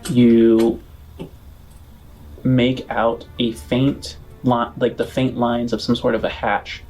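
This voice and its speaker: A man with a medium-pitched voice runs a tabletop role-playing game. He builds scenes haltingly, rewording as he goes. Soft music plays behind his narration. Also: flat delivery. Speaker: game master.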